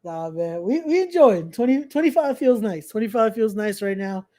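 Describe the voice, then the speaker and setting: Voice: mid-range voice, animated, moderate pace, warm tone. A young adult podcast host chatting casually on a live stream, promoting events and often repeating phrases with informal, slangy asides.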